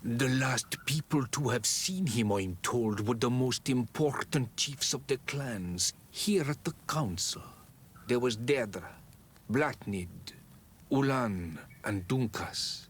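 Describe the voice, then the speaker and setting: An actor playing a wise, solemn elder of an island tribe in a fantasy game, speaking in a measured, ceremonial way. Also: male voice, medium pitch, animated, a little hiss or hum. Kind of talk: fantasy video game cutscene acting.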